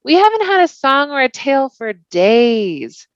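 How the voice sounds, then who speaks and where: A woman's voice: high-pitched, sing-song, measured pace, no room sound, lo-fi sound, pitched above the performer's own voice. A woman voicing a cheerful, teasing young male hobbit who coaxes his companions, in a storybook. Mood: coaxing.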